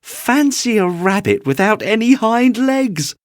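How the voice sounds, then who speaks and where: Man voicing a cocky high-pitched young rabbit. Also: very animated, no echo, studio quality. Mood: mocking, excited. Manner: brisk, boastful, needling. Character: cocky, taunting young wild rabbit, male. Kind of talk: bedtime storybook character voice.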